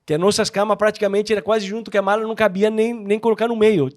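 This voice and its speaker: A man from Argentina with an Argentine accent, a native Spanish speaker, sharing a personal testimony as a podcast guest and acting out dialogue in an animated way. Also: sits high, fast.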